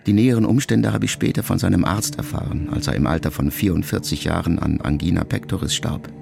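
A man acting in a literary radio play as a grown, middle-aged son who quietly and introspectively addresses his mother in measured phrases. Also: deep voice, creaky, background noise. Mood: reflective, remembering. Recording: music behind the voice. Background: music.

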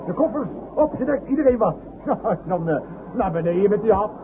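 An excitable, bossy camper in an old radio drama. His high voice swoops about as he stammers and repeats himself. The recording is phone-like and clearly noisy. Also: moderate pace. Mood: flustered, excited. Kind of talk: Dutch radio play acting.

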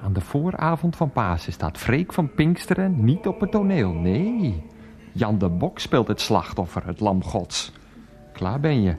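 An irritable, animated philandering husband. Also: male voice, mid-range voice, measured pace. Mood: grumbling, resentful. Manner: sarcastic, grumbling, hushing. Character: irritable, evasive philandering husband, sacked and resentful. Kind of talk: Dutch radio play dialogue. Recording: music behind the voice.

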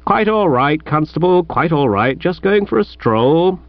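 A man voicing a breezy upper-class young gentleman in a comic audiobook, flippant and affable, with a British accent. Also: fairly high pitch, animated, moderate pace, no room sound, dull audio, lightly acted. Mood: airy, affable.

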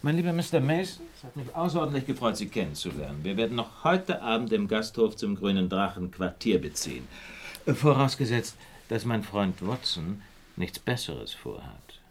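A shrewd, courteous gentleman detective for radio drama. His voice sits fairly low but moves with great range. He speaks with urbane, theatrical deliberation, probing and sure of himself. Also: big pitch swings, moderate pace, slight background noise. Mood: courteous, curious. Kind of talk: German radio play acting.

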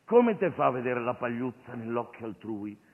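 A man's voice: mid-range voice, big pitch swings, normal speed, creaky. A man playing an irreverent, streetwise Jesus, commanding and jocular in a colloquial comic stage monologue. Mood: preaching. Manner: commanding, colloquial, mock-preaching. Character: irreverent streetwise Jesus, bossy, jocular master miracle-worker. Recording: phone-like radio broadcast of stage show.

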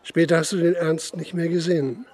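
Probing, higher-voiced police investigator. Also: male voice, flat delivery, a little mellow, slightly rough. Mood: insistent. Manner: matter-of-fact, insistent, measured questioning. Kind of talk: naturalistic radio drama acting and narration.

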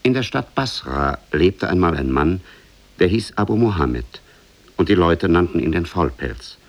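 An actor playing the storyteller of an Arabian Nights tale in a 1950s radio drama, measured and literary, with a standard German accent. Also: male voice, deep voice, lively intonation. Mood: measured storytelling. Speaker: narrator.

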